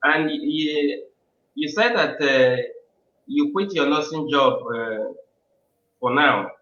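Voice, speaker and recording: A man's voice: fairly high pitch, takes it slowly, sharp tone, roomy sound, muffled recording. A male host opening and running a live online interview in a welcoming tone, with frequent "uh"s. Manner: welcoming, uses frequent fillers.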